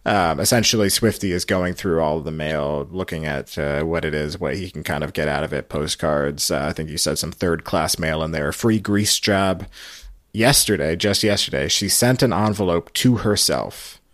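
A man's voice: a bass voice, moderate pace, a bit bright, no room sound, clean studio sound. An American man hosting a podcast, chatting casually through a recap. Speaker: podcast host.

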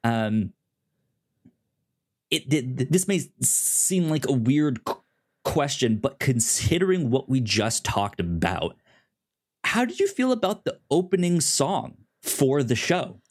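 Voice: mid-range voice, big pitch swings, slow, a little mellow. Stammering podcast co-host with a mid-range voice. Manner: stammering, repeats sounds and words. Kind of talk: casual TV discussion chat.

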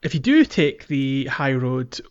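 A man's voice: mid-range voice, very animated, a little slow, clean tone, dull audio. A man hosting a casual podcast, explaining a game walkthrough and guiding the chat with questions. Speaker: podcast host.

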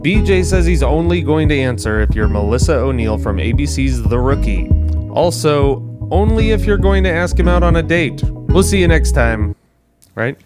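Deadpan male announcer over loud guitar music. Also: fairly high pitch, moderate pace. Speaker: podcast outro announcer. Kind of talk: scripted comic outro.

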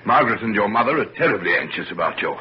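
Kindly older gentleman played by a man, worried paternal type, lively low voice, dull vintage radio sound. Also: rapid-fire, natural voice. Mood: concerned. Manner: paternal, formal delivery. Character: concerned, kindly older gentleman, father of the scientist. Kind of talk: old-time radio serial acting. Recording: dull, noisy old radio recording.

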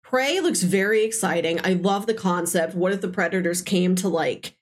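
A woman's voice: on the low side, normal speed. A woman in her early thirties co-hosting a movie podcast, chatting casually about films. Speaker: co-host of a movie review podcast. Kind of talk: casual chat, movie discussion.

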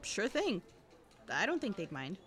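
A woman's voice: medium pitch, wildly swooping pitch, unhurried, fairly bright tone. A woman acting as an anxious, guarded teenage student in a fiction podcast, awkward and dryly evasive. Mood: apologetic, awkward. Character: anxious teenage student girl who records cassettes, guarded. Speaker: voice actor.

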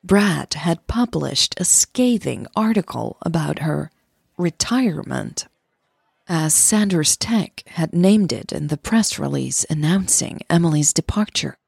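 A woman's voice: deep voice, expressive, measured pace, gravelly, a little mellow, breathy edge, no room sound. A woman who is a native Dutch speaker, narrating a scripted romance audio drama close to the microphone.

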